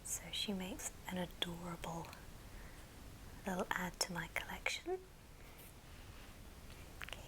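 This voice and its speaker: A woman whispering softly and breathily in a gentle, affectionate ASMR show and tell. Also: fairly low pitch, slow pace, pauses often, noisy background. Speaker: ASMR video host.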